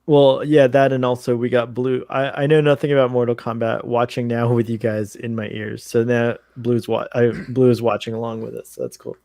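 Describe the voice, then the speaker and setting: An easygoing man hosts a casual watch-along, laughing often and pausing to search for the right word. His voice sits at an ordinary middle pitch. The recording is dry, with no room sound. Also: level tone, creaky, a little mellow, no echo. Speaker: show host.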